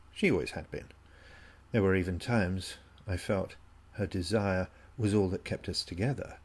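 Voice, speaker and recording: A man narrating a first-person story from a script in a measured, literary way. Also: low-pitched, slightly rough.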